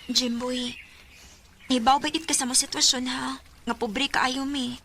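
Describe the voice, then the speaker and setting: An actress playing a gentle, frank teenage schoolgirl with a Cebuano accent in a radio drama. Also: high voice, level tone, a little slow, a little hiss or hum, exaggerated voice. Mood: gentle, earnest.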